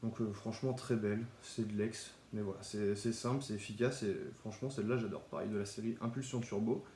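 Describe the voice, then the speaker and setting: Young man with a cold, mellow low voice, chatty card vlog in an echoey room. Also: fairly quick, a little hiss or hum. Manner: relaxed, chatty, with small restarts. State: has a cold, stuffy. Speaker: Pokémon card collector YouTuber. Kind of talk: casual card showcase vlog.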